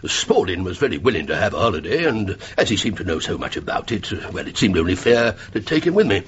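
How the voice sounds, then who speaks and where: A man's voice: sits low, very animated, fast. An actor playing a gullible, flustered English tradesman in a radio drama, rambling and hesitant but emphatic. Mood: garrulous, indignant wonder. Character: gullible, garrulous, flustered red-haired London tradesman. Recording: muffled radio play, crowd noise behind.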